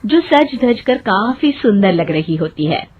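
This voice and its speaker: A woman's voice: mid-range voice, sing-song, measured pace, phone-quality sound, a little hiss or hum. A woman narrating a scripted cartoon story as its storyteller.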